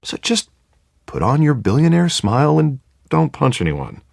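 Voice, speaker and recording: An actor playing an affable district attorney running for mayor in a video game, with glib, chummy patter. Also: male voice, on the high side, sing-song, normal speed, gravelly, a little mellow, no room sound, studio quality, heavily acted. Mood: upbeat persuasive. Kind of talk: video game dramatic acting.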